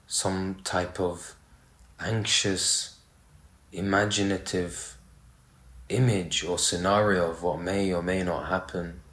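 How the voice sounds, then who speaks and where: A man with a deep voice leads a guided meditation in a roomy, echoing space. He speaks very slowly, setting out short phrases and leaving pauses between them. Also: steady tone, fairly bright tone. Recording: roomy, echoey sound.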